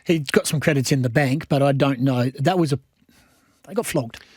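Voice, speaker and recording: A man's voice: mid-range voice, moderate pace, darkish tone, breathy edge, no room sound, clean studio sound. An Australian man hosting a sports radio discussion, introducing clips and putting questions to an analyst. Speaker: radio host.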